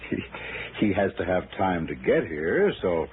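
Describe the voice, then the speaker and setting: An American actor playing a genial, unhurried insurance office man in a 1960s radio drama, hesitant and slyly polite in his phrasing. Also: deep voice, very animated. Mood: amused, dithering. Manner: hesitant, chuckling, drawn-out phrasing. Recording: tinny, hissy old radio broadcast.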